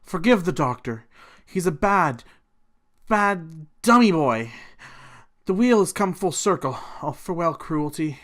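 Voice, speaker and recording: A man playing an eerie lab-experiment creature in a radio drama, halting and portentous. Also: fairly high pitch, lively intonation, a bit bright. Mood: solemn. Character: hungry feral experiment creature, solemnly reciting verse. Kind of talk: hobby radio drama acting.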